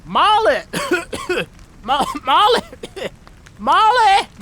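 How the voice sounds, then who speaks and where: A man's voice: very high voice, very animated, drawn-out words, bright, forceful tone, pitched above the performer's own voice, heavily acted. A man playing a tough, protective male giant slayer, intense in manner, in an audio story. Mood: frantic. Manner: hard, intense, growling in threat.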